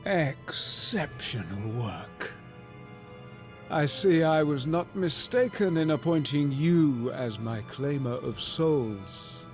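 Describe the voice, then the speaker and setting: Fantasy game ruler of the gods: unhurried, solemn, formal and commanding, over clear background music. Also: male voice, fairly high pitch, lively intonation, a little mellow. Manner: stately, formal, deliberate. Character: solemn commanding god-king, All-Father of the gods. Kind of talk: fantasy video game voice acting.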